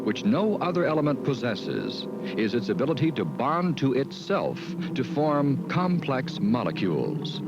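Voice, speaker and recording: Measured male film narrator, lo-fi. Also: mid-range voice. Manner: measured, deliberate delivery. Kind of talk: scripted educational narration. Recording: dull lo-fi film soundtrack with music.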